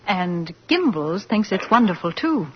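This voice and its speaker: A brisk, polite career woman, eager and lively in a medium-pitched voice. The vintage radio drama sounds muffled and noisy. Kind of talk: 1940s radio acting.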